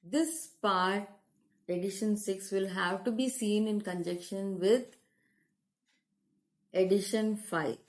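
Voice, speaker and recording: A woman with an Indian accent from India, giving a slow, deliberate English grammar lesson as a teacher. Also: mid-range voice. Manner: slow, deliberate teaching delivery, switching into Hindi. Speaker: language teacher.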